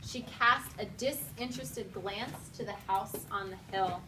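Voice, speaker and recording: A woman's voice: mid-range voice, unhurried, distant mic. A woman teaching a class, giving clipped instructions and reading aloud expressively. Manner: directive, clipped commands alternating with expressive reading. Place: school classroom with pupils. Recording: noisy classroom, voice off the mic.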